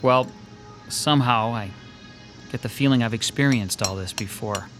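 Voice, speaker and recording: An actor playing a hesitant, uneasy sketch artist haunted by déjà vu, in classic radio drama style. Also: male voice, mid-range voice, moderate pace, music in the background, lightly acted.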